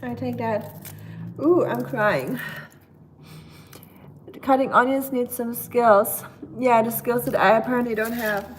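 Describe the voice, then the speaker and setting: Brief: young German-accented woman, raised voice, slow easygoing delivery, sarcastic chat host over loud chopping. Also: on the high side, steady tone, low-quality recording. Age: young adult. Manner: chatty, dry sarcastic humor, answers viewer comments. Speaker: cooking streamer. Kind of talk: casual live-stream chat while cooking. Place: home kitchen. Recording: knife chopping noise behind.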